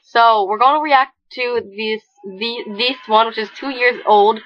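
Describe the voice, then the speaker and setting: A woman's voice: fairly high pitch, unhurried, no room sound, dull audio. A young woman casually reacting to her own old video, giggly and sounding close to tears as she talks. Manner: giggly, teary-sounding and excitable.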